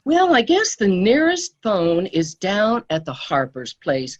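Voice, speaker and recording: A woman's voice: medium pitch, wildly swooping pitch, slow pace, full character voice. An actress playing a hospitable, folksy country store woman in a radio comedy-drama, in a slightly muffled recording. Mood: welcoming.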